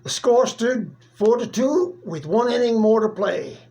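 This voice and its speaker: A person reciting a narrative poem in a theatrical, deliberate manner with dramatic pauses. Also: medium pitch, animated, creaky, warm tone. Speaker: poetry reader.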